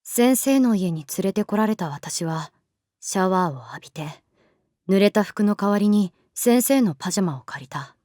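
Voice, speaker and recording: A woman playing a guarded, sullen middle-school runaway girl in a Japanese audio drama, curt in speech with moody, breathy murmured asides. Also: medium pitch, expressive, normal speed, warm tone, no room sound, clean studio sound, natural voice. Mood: wistful, introspective. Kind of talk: Japanese audio drama acting with introspective narration.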